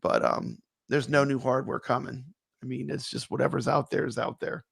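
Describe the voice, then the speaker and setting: A man co-hosting a casual live stream, chatting with viewers; his voice is still gravelly from being sick. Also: mid-range voice, normal speed, no echo, clean studio sound. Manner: relaxed, chatty, greets viewers. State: gravelly, voice not fully recovered.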